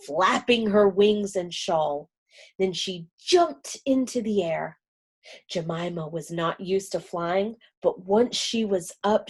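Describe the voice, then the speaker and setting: A woman reading a children's storybook aloud, gentle and expressive. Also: mid-range voice, breathy edge.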